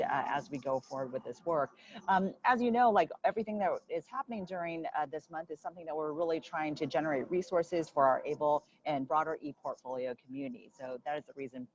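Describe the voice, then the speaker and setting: A woman's voice: low-pitched, darkish tone, a little hiss or hum. A woman hosting a webinar session over a video call, speaking in a friendly way with many fillers. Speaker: webinar host, board member. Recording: dull lo-fi call audio.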